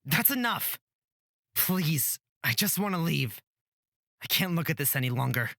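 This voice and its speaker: A woman acts an earnest young protagonist in a fantasy visual novel. The voice sits low with a tense, forceful edge and a dry, close sound. The manner is urgent and anxious. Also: big pitch swings, measured pace, dry recording, full character voice. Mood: distressed pleading. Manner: pleading, urgent. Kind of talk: visual novel character reading.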